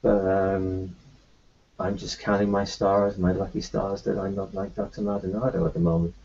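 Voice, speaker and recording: A man roleplaying his character in a tabletop game, talking in a halting way full of fillers and restarts. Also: low voice, little pitch movement, gravelly, darkish tone. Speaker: player voicing his doctor character. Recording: dull lo-fi recording.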